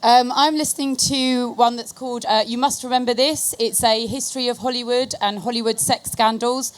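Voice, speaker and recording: A woman's voice: a little high, steady tone, brisk. A woman speaking as a panel guest, giving a casual recommendation.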